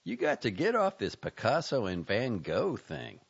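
A man reading as an impatient, sharp-tongued young man who lectures his friend in a raised, loud voice. His pitch leaps about wildly from line to line, and the lo-fi recording has a gravelly, dull edge. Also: very animated, measured pace, heavily acted. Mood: exasperated.

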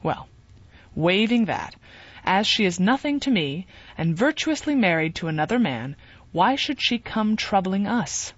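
A woman reading a gentle, reasonable young man in a calm, measured way. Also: medium pitch, husky, no echo, muffled recording.

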